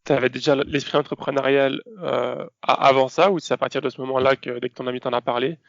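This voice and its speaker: A man's voice: medium pitch, fairly even intonation, fast, vocal fry, lo-fi sound. A man hosting a podcast, putting questions to his guest in a conversational way. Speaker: podcast host. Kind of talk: conversational interviewing.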